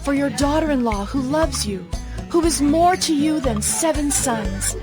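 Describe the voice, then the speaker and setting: A happy bible village woman, high voice, proclaiming blessings, music behind. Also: animated, measured pace. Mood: joyful blessing. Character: joyful village woman of Bethlehem blessing a friend. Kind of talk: dramatized scripture reading.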